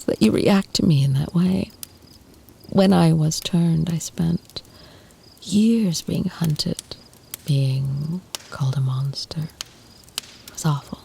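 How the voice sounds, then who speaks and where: Woman acting as ancient female vampire, tender and protective, breathy ASMR whisper, mellow tone, creaky edges, intimate roleplay. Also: low voice, a little hiss or hum, exaggerated voice. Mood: tender, teasing, then wistful. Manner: slow, soothing, intimate murmur with lingering pauses.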